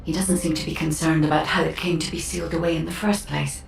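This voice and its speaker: An actress voicing a calm, analytical guide in a video game, speaking in a measured, formal way. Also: female voice, low voice, gravelly, slight background noise. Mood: calm, earnest. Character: calm, analytical female guide advising fighters, earnest and measured. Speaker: guide character. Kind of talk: scripted video game dialogue. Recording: roomy, distant, music underneath.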